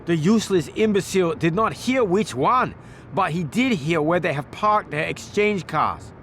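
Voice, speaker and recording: An actor playing a menacing gangster who gives orders in stilted, formal, non-native phrasing in a game cutscene. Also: male voice, sits high, animated, normal speed, fairly bright tone, slight background noise. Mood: stern, commanding. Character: menacing foreign male London gangster giving orders. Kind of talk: video game cutscene acting.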